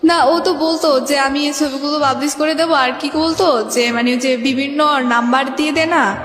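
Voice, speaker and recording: A young woman with a Bangladeshi accent telling her life story in a radio interview, halting and conversational, acting out remembered exchanges. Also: high voice, steady tone, a bit bright. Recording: echoey reverb, faint music behind. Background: faint music.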